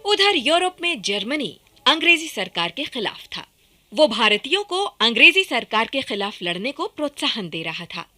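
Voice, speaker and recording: A woman reads scripted narration for a radio documentary drama. Her voice is a little high, bright and forceful, with lively rises and falls. The delivery is dramatic and projected.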